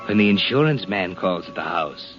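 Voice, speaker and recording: Creepy all-knowing radio narrator, lowish voice, loud music behind, forties thriller. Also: male voice, lively intonation, moderate pace, highs cut off. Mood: taunting. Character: sinister, taunting all-knowing male storyteller. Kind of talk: 1940s radio suspense narration in second person. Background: dramatic music.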